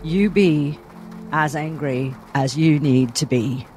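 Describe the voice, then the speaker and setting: A man playing an earnest, protective adventurer in a tabletop game, speaking in a solemn, measured way. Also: on the high side, darkish tone, soft background music. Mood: concerned. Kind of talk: in-character tabletop roleplay.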